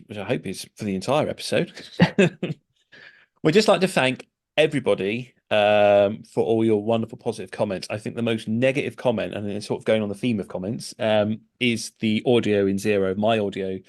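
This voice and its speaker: A man's voice: lowish voice, fairly quick. A middle-aged man hosting a casual podcast, chatty and hesitant, with many fillers and restarts. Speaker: podcast host.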